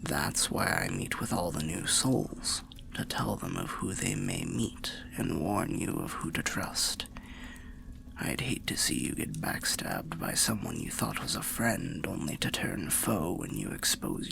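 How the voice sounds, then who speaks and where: Guy doing a weary old undead soul for fantasy asmr, super low creaky half-whisper, kindly, archaic rambling. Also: wildly swooping pitch, heavily acted. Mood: musing, cautionary. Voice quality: breathy, gravelly half-whisper. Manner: slow, rambling, archaic, gently cautionary storyteller. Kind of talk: ASMR fantasy roleplay monologue.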